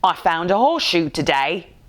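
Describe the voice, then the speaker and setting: A woman's voice: fairly low pitch, sing-song, moderate pace, fairly bright tone. A woman voicing a cheerful, joke-telling chap in a storybook, jovial and full of mirth. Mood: amused, joking.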